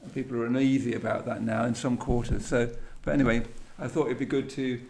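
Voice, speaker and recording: A man's voice: mid-range voice, steady tone, quick pace, creaky. A man hosting a poetry event, talking informally about books in a hesitant, tentative way. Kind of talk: informal literary discussion. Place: echoey indoor room at a live event. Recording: echoey room with faint background noise.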